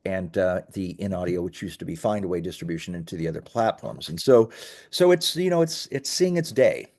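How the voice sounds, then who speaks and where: A man's voice: deep voice, expressive, fairly quick, a little mellow. A man speaking as a company founder in an interview, explaining conversationally with emphatic, self-correcting phrasing.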